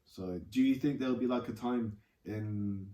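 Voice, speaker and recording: A low-voiced man hosts a laid-back group chat and keeps circling his thoughts with fillers and restarts. His tone is mellow and dark. He sits back from the mic in a roomy space. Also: far from the mic. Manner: halting, filler-heavy. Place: room with several guests.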